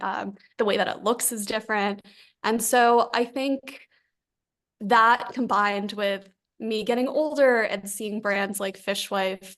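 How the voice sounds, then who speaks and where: A young adult woman from New Jersey, a company founder talking casually in an interview, chatty and animated, often quoting her own past thoughts. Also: mid-range voice. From New Jersey, USA. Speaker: founder and CEO, guest. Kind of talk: conversational interview.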